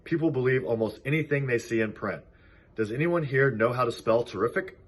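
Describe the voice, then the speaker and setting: A man reads as a clever female spider chairing a barnyard. The voice is husky, brisk and bossy, with a dry, articulate storybook delivery. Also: mid-range voice, lightly acted. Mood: businesslike.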